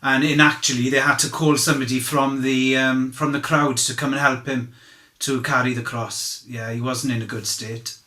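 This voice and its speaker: A man playing a candid, humble fisherman giving halting, conversational eyewitness testimony in a courtroom drama. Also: mid-range voice, little pitch movement, pushed and bright, close to an everyday voice. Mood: sombre recollection. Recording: roomy, echoing sound.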